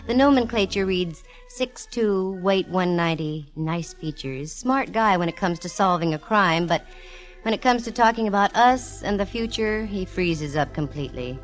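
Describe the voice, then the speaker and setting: A woman's voice: medium pitch, expressive, moderate pace, faint music behind, close to an everyday voice. An actress playing a wisecracking, self-assured female private eye in a vintage radio detective drama, wry and breezy in delivery. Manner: wry, breezy, dryly humorous. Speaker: lead actress narrating a detective serial. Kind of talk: 1940s radio detective acting and narration. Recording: vintage radio recording with musical backing.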